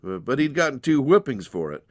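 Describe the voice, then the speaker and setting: A man's voice: medium pitch, very animated, a little fast, no room sound, clean studio sound. A man narrating a dramatized story in a folksy, conversational way. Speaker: narrator, audiobook reader.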